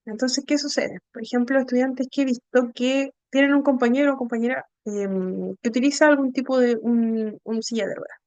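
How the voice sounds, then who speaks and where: A woman instructor with a fairly high voice and a Chilean accent, recorded dry and close without room sound. She lectures informally in a halting way, often pausing to search for words and checking in with short tag questions. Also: steady tone, darkish tone, clean tone, no echo, muffled recording. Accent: Chilean Spanish. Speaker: instructor of an inclusive education class.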